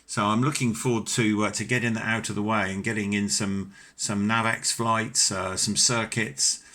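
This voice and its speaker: A man's voice: fairly low pitch, little pitch movement, normal speed, bright, forceful tone. A middle-aged British man chatting casually as an amateur radio operator, courteous and talkative. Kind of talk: casual ham radio chat.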